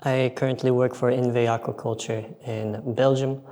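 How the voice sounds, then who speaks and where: An American man presenting research at a conference, explaining methods in an earnest, measured way with frequent filler pauses. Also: medium pitch, little pitch movement, dark tone. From United States. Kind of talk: scientific conference talk. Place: conference hall.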